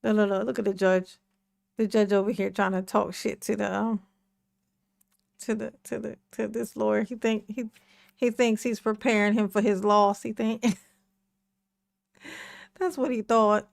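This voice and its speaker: Sassy woman commentator, deep gravelly voice, dry close mic, mocking reaction talk. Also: level tone, measured pace, long pauses, no echo. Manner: sassy, mocking, repeats "look, look". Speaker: commentator reacting to court footage.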